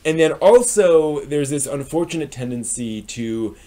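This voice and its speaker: A man's voice: a little high, lively intonation, a little slow, dark tone. A man giving a casual, unscripted review as a live stream host, explaining things with wry asides.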